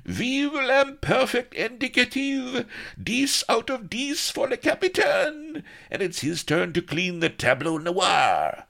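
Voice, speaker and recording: A man's voice: extremely high pitch, very animated, slow, creaky, sharp tone, voice pushed up, heavily acted. A man voicing a rowdy pirate crew, roaring and cheering in raised voices.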